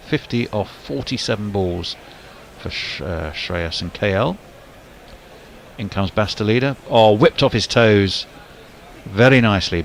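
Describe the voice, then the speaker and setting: A man's voice: sits low, slight background noise. A man calling a cricket match ball by ball, lifting his voice when a big shot comes. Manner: brisk play-by-play with sudden lifts on big shots. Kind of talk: live sports commentary.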